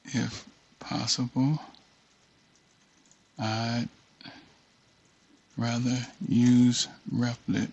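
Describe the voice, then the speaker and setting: A man with a gravelly, husky voice talks through an on-screen tutorial in a flat, even tone. He reads from his notes and drops muttered asides to himself as he goes. The recording is dull and lo-fi. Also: mid-range voice, very slow, pauses often. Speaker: tutorial host. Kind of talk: casual screen-share walkthrough, reading a document aloud with off-the-cuff remarks.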